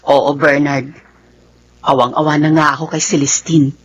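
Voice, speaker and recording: A loyal, sensible Filipina confidante in a radio drama. Her voice is husky and moves in animated swings. She speaks with concern and sympathy. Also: low voice, slow, highs cut off, exaggerated voice.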